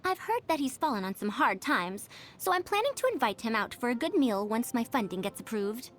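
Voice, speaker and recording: High, girlish, proud old scholar. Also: big pitch swings, fairly quick, fairly bright tone. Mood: musing. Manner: pompous, lecturing, old-fashioned elder phrasing. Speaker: game character voice. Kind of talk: animated video game voice lines.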